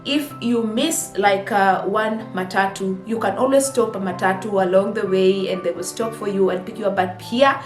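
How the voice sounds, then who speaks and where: A woman's voice: medium pitch, normal speed, few pauses. Chatty Kenyan woman vlogging in an echoey room. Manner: chatty, exclaiming, lively asides. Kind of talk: casual chat to camera. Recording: echoey room with faint music.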